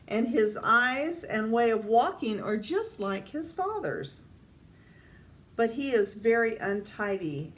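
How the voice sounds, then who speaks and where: An older woman reads a children's picture book aloud in a deliberate way, addressing young listeners. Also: on the high side. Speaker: storytime reader. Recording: distant mic, faint background noise.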